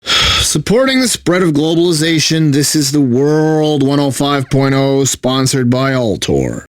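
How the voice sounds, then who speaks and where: A man's voice: fairly high pitch, big pitch swings, unhurried, no echo. An actor playing a bitter, sardonic video game radio DJ who resents his corporate bosses, mixing station patter with theatrical despair. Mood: weary announcer patter.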